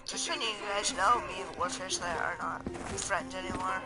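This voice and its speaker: High-pitched, scratchy-voiced young male gamer. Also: fairly even intonation, moderate pace. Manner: hesitant, meandering. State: voice strained from yelling. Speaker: gaming video host. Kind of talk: casual rambling chat over gameplay. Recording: loud game music and sound behind. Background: video game music.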